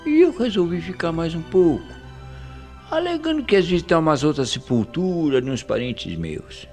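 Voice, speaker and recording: An actor playing an old country colonel with a Brazilian accent, spinning an eerie tale with folksy suspense in a radio mystery drama. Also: male voice, on the high side, very animated, moderate pace, darkish tone, soft background music. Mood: rising suspense. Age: older.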